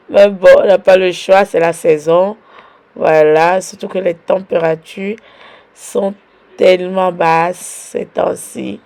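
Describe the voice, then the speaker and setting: Slow, gravelly Beninese mom with a cold. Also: female voice, lowish voice, mellow. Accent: Beninese French. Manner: unhurried, chatty, fills pauses with "voilà". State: has a cold and sore throat. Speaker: mom vlogger. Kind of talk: casual home vlog narration.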